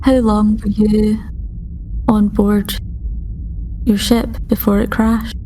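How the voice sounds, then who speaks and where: A woman voicing a calm, caring alien starship doctor in a tabletop game, measured, formal and reassuring. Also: mid-range voice, a little hiss or hum, lightly acted, low-quality recording. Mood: calm, curious. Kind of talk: tabletop roleplay in character.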